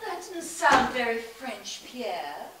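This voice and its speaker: Woman doing a bossy rough crook lady, high bright voice, far off mic. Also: sing-song, takes it slowly, heavy background noise. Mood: scheming. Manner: coarse, impatient, bossy. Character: scheming rough-spoken female criminal mastermind. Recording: echoey room, mic far off.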